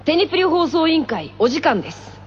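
A woman voicing a composed junior-high tennis boy hosting a school broadcast in an anime radio drama. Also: very animated, a little fast, raised voice, highs cut off, over a noisy crowd. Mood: composed, matter-of-fact. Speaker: broadcast host.

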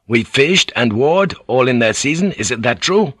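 A man's voice: medium pitch, expressive, a little slow, a bit bright, dry recording, studio quality, pitched above the performer's own voice. A man voicing a defiant village headman and old fighter in an audiobook, emphatic, persuasive and earthy. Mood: rousing, defiant.

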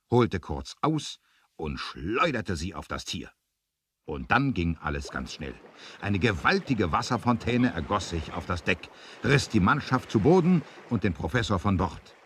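A man narrating an adventure radio play as an expressive, suspenseful storyteller with a husky voice. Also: low voice, no room sound.

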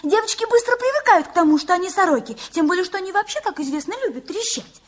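A woman's voice: extremely high pitch, animated, quick pace. An actress playing a girl turned into a wise, world-weary horse in a radio play, giving folksy, resigned advice. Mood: resigned, advising.

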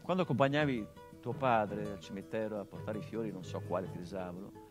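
An actor playing a grown son who speaks to his murdered father in a theatrical monologue, quiet and reflective, with flashes of bitter emphasis. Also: on the low side, brisk, music in the background, lightly acted. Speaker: stage actor in a radio play.

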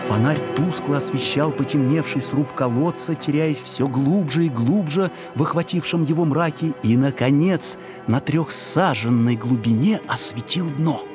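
A man narrating a children's story in an expressive, measured, suspenseful dramatic reading. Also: a little high, over music.

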